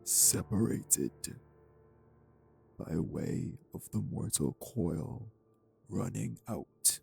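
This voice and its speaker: A man playing a menacing, urbane old vampire kidnapper in an audio drama, with a measured, condescending, formal delivery and a gravelly voice. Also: a little mellow, soft background music, full character voice. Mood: cool, amused. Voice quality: gravelly, low. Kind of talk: audio roleplay drama acting.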